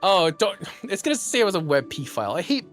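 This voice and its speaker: A young man streaming live, chatting casually; he is excitable, laughs often and is losing his voice. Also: sits high, quick pace, faint music behind. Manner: excitable, laughs often, repeats words in bursts.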